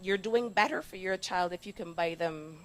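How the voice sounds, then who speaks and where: Barbadian woman explaining health matters, lowish voice. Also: normal speed. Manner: animated explaining, acts out imagined remarks, checks with "yes?". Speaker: doctor, interview guest. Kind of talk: conversational health interview.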